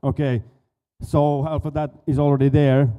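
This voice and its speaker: A man's voice: a little high, little pitch movement, moderate pace, warm tone. A Finnish-accented man in his forties giving a casual expo stage talk, often stumbling and repeating words. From Finland. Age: 40s. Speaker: conference speaker, dive school owner.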